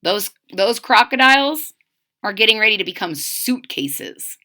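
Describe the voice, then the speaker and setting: A woman's voice: mid-range voice, lively intonation, measured pace, pushed and bright. A woman voicing a wise old male crocodile in a storybook, speaking in a grave, urgent tone of warning.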